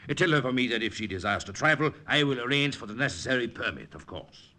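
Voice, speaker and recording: Casting: courtly, unctuous officer, bright forceful voice, highly animated, old radio drama sound. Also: male voice, fast talker. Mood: suavely obliging. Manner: unctuous, pompous, lingering over phrases. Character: smug, courtly male Nazi commandant in occupied France. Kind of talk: 1940s radio acting. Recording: noisy old radio broadcast recording.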